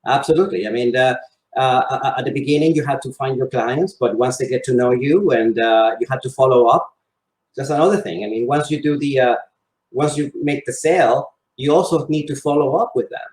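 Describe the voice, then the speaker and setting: A man's voice: mid-range voice, fairly quick, darkish tone. Man in a roomy space. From Venezuela and Spain. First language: Spanish. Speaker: interview guest, real estate salesman. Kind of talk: conversational interview answers. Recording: roomy, echoing sound.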